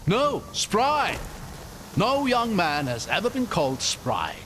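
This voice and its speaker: Lively, higher-pitched older scholarly adventurer. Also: male voice, slow, background noise, exaggerated voice. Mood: wry, musing. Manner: courteous, wry, expressive storytelling delivery. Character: spry, scholarly older male traveler, talkative and good-humoured. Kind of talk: cinematic video game acting. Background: background music.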